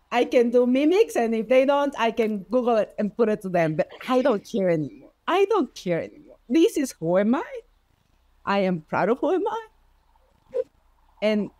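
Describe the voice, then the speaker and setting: A woman with a Spanish accent, a native Spanish speaker, telling personal stories as a podcast guest in an expressive, heartfelt way. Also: a little high, normal speed, dry recording. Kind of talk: personal storytelling in conversation.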